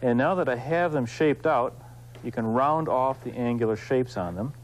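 A man hosting an instructional craft demonstration, explaining informally and in a folksy, unhurried way while he works with his hands. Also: mid-range voice, slight background noise, low-quality recording. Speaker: woodcarving instructor, show host.

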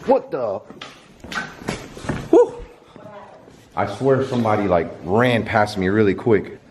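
A man in his thirties hosting a vlog, chatting to the camera and reading off viewer names while exploring a dark abandoned stadium at night. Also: lowish voice, lively intonation, takes it slowly, a little hiss or hum. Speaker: YouTube host. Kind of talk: casual vlog narration. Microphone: handheld camera held close.

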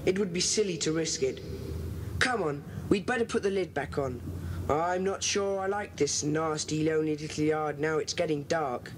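Actor as schoolboy detective leader, brisk, bossy, organising, children's audio drama, noisy old cassette. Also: mid-range voice, natural voice. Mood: wary, decisive.

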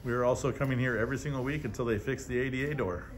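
A man's voice: medium pitch, racing. A man filming in public outside a post office, chatting and needling passersby with a sarcastic, laughing manner. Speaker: First Amendment auditor filming in public. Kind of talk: casual confrontational street filming.

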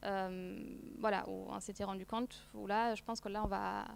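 A woman's voice: medium pitch, flat delivery, normal speed, gravelly, a little hiss or hum. A woman in her thirties, a non-native French speaker, presenting a research project at a seminar, with many fillers and restarts. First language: not French. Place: seminar room, seated at a long table.

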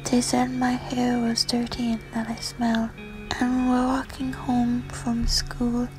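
A woman quietly recounting a personal memory in a slow, breathy half-whisper. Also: on the high side, monotone, over music, rough recording. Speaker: interviewee. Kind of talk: personal testimony.

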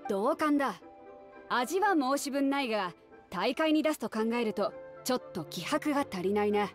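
A woman's voice: sits high, lively intonation, normal speed, soft background music. A woman voicing a bold, confident female sea captain in a Japanese anime game, brusque and self-assured. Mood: approving.

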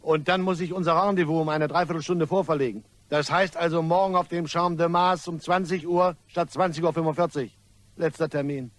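An actor playing an apologetic but insistent gentleman blackmailer in a radio play, formal and hesitant. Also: a little high, steady tone, a little fast, natural voice. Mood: apologetic, firm. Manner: polite, formal, hesitant, fumbling for words.

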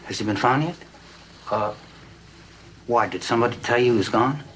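A man's voice: medium pitch, normal speed, a bit bright, natural voice. An actor playing a folksy, humble police lieutenant in a TV drama, rambling and hesitant as he asks his questions. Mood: sheepish, deferential. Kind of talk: television detective drama acting. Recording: noisy old TV soundtrack.

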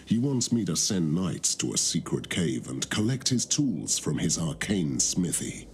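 An actor playing a grave, lordly medieval knight in a fantasy game, speaking in a measured, solemn way. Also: male voice, sits low, sing-song, slight background noise, full character voice. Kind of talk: scripted game voice acting.

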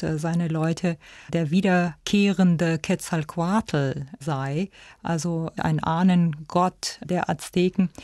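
A woman's voice: lowish voice, mellow, no echo, studio quality. A woman speaking as an expert, explaining in an unscripted interview answer. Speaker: expert, ethnologist.

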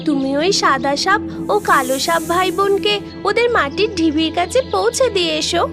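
A woman voicing a kindly magical mermaid with a gentle, earnest manner in a fairy tale cartoon. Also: very high voice, normal speed, over music. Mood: earnest request. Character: kindly, helpful three-headed magical mermaid.

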